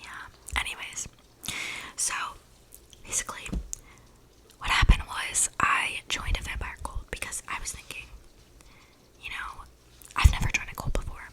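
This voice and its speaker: A woman's voice: a little slow, pauses often, close to an everyday voice, rough recording. A woman playing an eccentric, chatty young vampire in a whispered, slangy ASMR roleplay, breathing close to the microphone. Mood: deadpan, gossipy. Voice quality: breathy whisper with creaky edges. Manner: rambling, casual slangy delivery with many likes. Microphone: close microphone with audible breathing.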